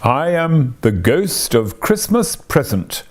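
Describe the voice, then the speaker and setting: A man's voice: fairly high pitch, very animated, slow pace, voice pushed up, full character voice. A man voicing a jolly, hearty giant Christmas spirit from a storybook, cheery and commanding. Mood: proud, genial. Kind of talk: storybook character reading.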